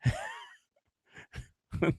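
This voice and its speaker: A man's voice: extremely slow, a little mellow, no room sound, studio quality. A man hosting a livestream, rambling in casual commentary with frequent fillers, profane and mocking. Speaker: livestream host.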